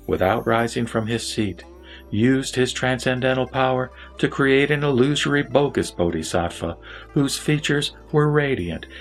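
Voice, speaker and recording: A man reciting a Buddhist scripture as a formal, measured narrator over background music. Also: medium pitch.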